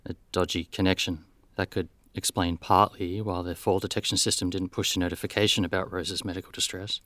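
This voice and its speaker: An actor playing a world-weary, dryly cynical detective nearing seventy in a radio play, with a wry, deadpan delivery. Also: male voice, low voice, fairly quick, no echo. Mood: businesslike, dry.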